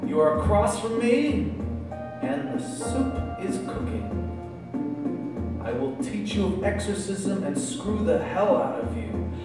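A man's voice: sits high, animated, slow pace, far from the mic. A man in his thirties, a poet performing live spoken word into a stage microphone in a small club. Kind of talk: live spoken word poetry reading with casual banter. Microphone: stage microphone on a stand. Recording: echoey live club PA, music behind.

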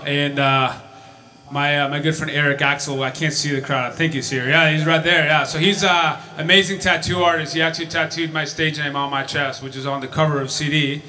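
A man in his twenties from Chile, talking quickly and loosely between songs on a small club stage, with fillers and trailing sentences. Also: fairly high pitch, pushed and bright. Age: 20s. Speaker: singer-songwriter. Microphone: vocal mic on stand. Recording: live club recording with guitar. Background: acoustic guitar music.

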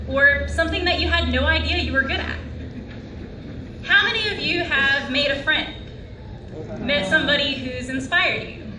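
Young woman, graduation speaker, earnest, lectern mic, roomy auditorium, faint hum behind. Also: sits high. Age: young adult. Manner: earnest, rhetorical questions to the audience. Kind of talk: commencement speech. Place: large auditorium stage at a lectern. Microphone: lectern microphone. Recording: echoey hall from far off.

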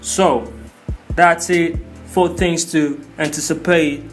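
A man hosts a movie commentary video in a hyped, informal and slangy way. His voice sits a little high, and music plays behind him. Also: fairly even intonation, takes it slowly. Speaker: video host.

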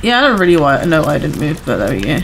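A woman chatting casually while playing a video game, her voice a little hoarse from talking too much. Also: sits low, big pitch swings, racing, a little hiss or hum, poor audio. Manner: chatty, self-reassuring, talks to viewers. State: voice going hoarse from overuse. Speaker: gaming streamer. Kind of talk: casual gameplay commentary.

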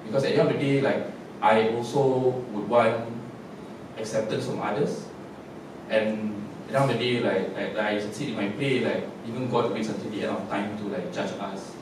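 A male playwright with a Singaporean English accent talks loosely on a panel, full of fillers. His voice sits a little low and is picked up from far across an echoey theatre with some background noise. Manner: casual, rambling, filler-heavy. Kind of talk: post-show panel talk. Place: stage of a theatre, seated on a panel.

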